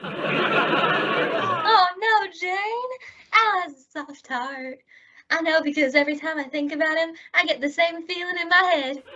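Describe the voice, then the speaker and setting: A woman acts out a soft-hearted, dim-witted young woman from an old radio sitcom. Her voice is extremely high and unhurried, earnest and guileless. The recording is dull with clear noise. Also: a loud crowd. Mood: naive, earnest. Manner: naive, earnest, pleading. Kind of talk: old-time radio comedy acting.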